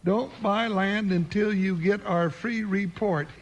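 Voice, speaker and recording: An actor playing a big, jovial comic deputy sidekick in a 1950s radio Western, folksy, excitable and teasing. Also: male voice, sits high, takes it slowly. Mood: amused, teasing. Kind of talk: 1950s radio drama acting. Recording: noisy old radio transcription, clicks.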